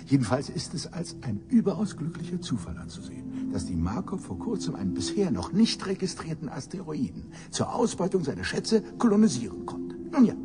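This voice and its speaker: An actor playing a cynical, bitter old professor in a science fiction radio drama, pompous and sardonic in elaborate phrasing. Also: male voice, fairly high pitch, huge pitch swings, a little fast, few pauses, loud music behind the voice. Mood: smug, scheming.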